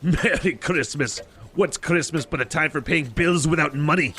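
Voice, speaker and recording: A man playing a bitter, miserly old businessman with a British accent, gruff and sarcastic, in an amateur audio drama. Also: fairly high pitch, normal speed, sharp tone. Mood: scornful. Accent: put-on British. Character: bitter, miserly old male London businessman, scornful and curt.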